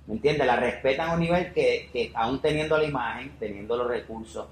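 A man's voice: medium pitch, a little fast. A man hosting a podcast interview in a casual, rambling way, often repeating phrases for emphasis. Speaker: podcast host. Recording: roomy echo with faint background noise.

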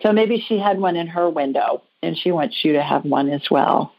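A woman's voice: low-pitched, normal speed, gravelly. Chatty American woman hosting, slightly dull audio. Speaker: host, psychic call-in reader. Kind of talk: conversational call-in show readings.

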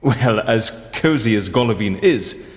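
A cunning, chatty male prisoner for an audio drama. His manner is genteel and playful, arch and coaxing, with animated pitch. Long reverb trails every phrase. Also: measured pace. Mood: wheedling, insistent.

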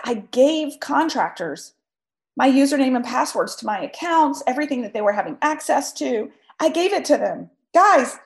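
A woman's voice: sits high, roomy sound. A woman hosting a podcast, talking emphatically straight to her listeners while her voice is scratchy from a cold. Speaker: podcast host. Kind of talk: solo podcast talk, advice.

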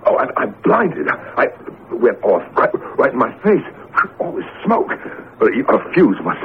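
An actor playing a flustered married professor in a radio drama, nervous and stubborn, with a hesitant, rattled delivery. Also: male voice, medium pitch. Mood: shocked. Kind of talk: 1970s radio drama acting. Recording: muffled, noisy vintage radio broadcast.

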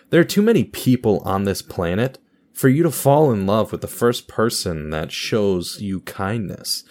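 Low-voiced theatrical man narrating radio drama. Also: darkish tone. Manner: theatrical, wry, confiding storyteller. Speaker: narrator and host of an audio drama. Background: guitar music.

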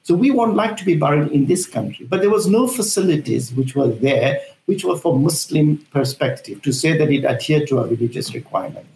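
An older man from Zambia, answering interview questions earnestly, with frequent pauses and fillers. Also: fairly high pitch, animated, gravelly, darkish tone. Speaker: guest, cemetery founder. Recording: echoey room.

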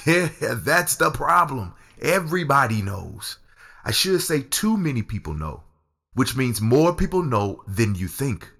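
A man's voice: medium pitch, sing-song, measured pace, creaky, pushed and bright, full character voice. A man playing an earnest lover who confides dangerous secrets in an audio drama. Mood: urgent, frank. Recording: music under the voice.

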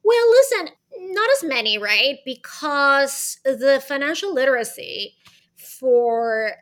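A woman from Venezuela, a guest on a podcast, talking in a lively, chatty way with many fillers like "right?". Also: high voice, slow. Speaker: guest, arts consultant and author. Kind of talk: podcast interview.

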